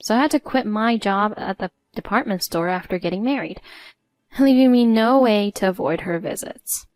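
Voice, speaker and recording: A woman reading a scripted first-person story in a measured, articulate way. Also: mid-range voice, a little mellow, no room sound. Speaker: story narrator.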